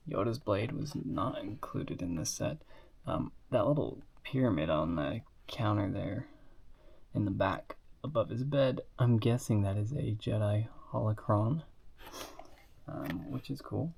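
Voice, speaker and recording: A man's voice: on the low side, animated, unhurried, vocal fry, a little mellow, slightly rough. A sick, sniffly man casually showing off toy sets in a rambling way, with lots of fillers and asides. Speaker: hobbyist reviewing toy building sets. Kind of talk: casual show-and-tell.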